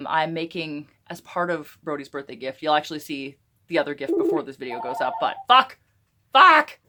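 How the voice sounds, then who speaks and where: A woman's voice: sits low, lively intonation, moderate pace, bright, forceful tone. A woman vlogger chatting casually in a joking, sarcastic way, swearing often, with a slightly crackly voice. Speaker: YouTube vlogger. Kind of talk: casual blooper vlog chat.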